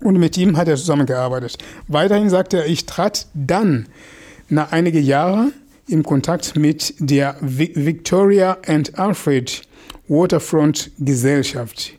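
A man's voice: fairly high pitch, measured pace, a little mellow. A man from Mozambique whose first language is a local Mozambican language, speaking German in a casual, hesitant commentary with many fillers and restarts. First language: a language of northern Mozambique.

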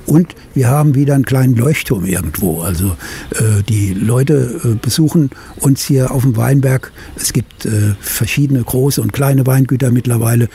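An older man with a Rhineland German accent, a winery owner answering interview questions haltingly, with many filler sounds, outdoors at a vineyard. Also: medium pitch, dark tone, a little hiss or hum. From Middle Rhine, Germany.

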